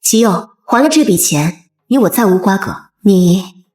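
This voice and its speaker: A woman voicing a coolly calculating, vengeful young noblewoman in a period comic drama, composed, measured and formal. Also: mid-range voice, expressive, warm tone, reverberant, big-room sound, natural voice.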